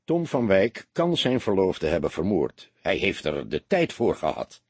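A man voicing a seasoned, greying police detective in an audiobook, calm, sober and matter-of-fact. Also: deep voice, a little slow, slightly rough, dry recording, exaggerated voice.

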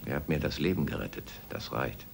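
A man playing an earnest, courteous middle-aged scientist in an audio drama, speaking in a measured, formal way. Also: extremely low pitch, vocal fry, slight background noise. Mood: grave, bitter. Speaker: actor in a German children's audio drama. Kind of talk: radio play acting.

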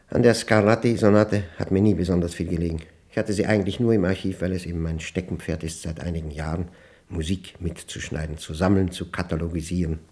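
A soft, dark and creaky male voice, pitched on the low side. It belongs to a solitary professor, formal and orderly with a hidden romantic streak. He dictates his reflections precisely, halting between phrases. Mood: reflective, measured. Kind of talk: German radio play monologue, dictating onto tape.

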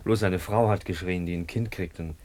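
A man plays a young policeman in a radio drama. His voice is deep, his manner self-satisfied and self-important. He speaks, as a dashing suitor. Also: fairly even intonation, normal speed, a little mellow, a little hiss or hum, lightly acted. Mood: jovial, dutiful. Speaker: actor in a radio play. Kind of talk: radio play acting.

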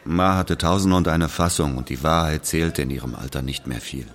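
Actor as very deep-voiced brooding storyteller. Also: male voice, normal speed. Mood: reflective. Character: brooding Algerian man recalling his murdered brother. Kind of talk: literary dramatic monologue.

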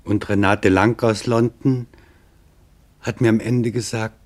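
A man's voice: lowish voice, fairly even intonation, measured pace. A man narrating a scripted documentary as a sober, solemn reporter.

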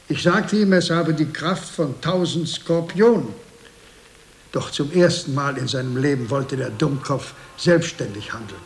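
An actor playing an old, cunning, commanding monk villain in a German radio drama, speaking solemnly and imperiously. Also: male voice, on the high side.

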